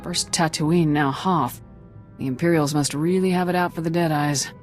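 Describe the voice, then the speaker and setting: An actress playing a confident, steady military squad commander with a dry teasing streak in a video game. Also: female voice, sits low, normal speed, natural voice. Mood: businesslike. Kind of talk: video game dialogue acting. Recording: music behind the voice.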